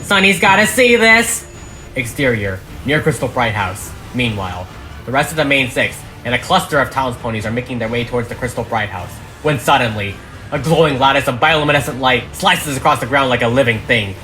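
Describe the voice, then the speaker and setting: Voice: mid-range voice, fairly quick, pushed and bright, slight background noise. A narrator reading an audio drama script aloud, stage directions included, in a dramatic way. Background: music.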